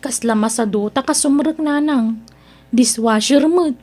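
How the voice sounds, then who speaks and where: A proud young woman in an audio drama, with a high voice and an Ilocano Filipino accent. She sounds whiny and haughty, a reluctant worker who thinks herself above others. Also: a little slow, darkish tone. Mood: sulky. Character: proud young niece Mira, reluctant new restaurant dishwasher, complaining daughter.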